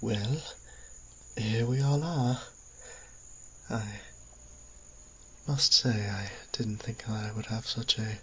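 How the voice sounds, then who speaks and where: Man voicing courtly vampire priest, low bright voice, kindly and polite, audio drama, faint cricket-like night ambience. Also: slight background noise. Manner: polite, courtly, unhurried, pausing mid-phrase. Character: genteel, kindly male vampire priest, gracious host. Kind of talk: scripted audio roleplay drama.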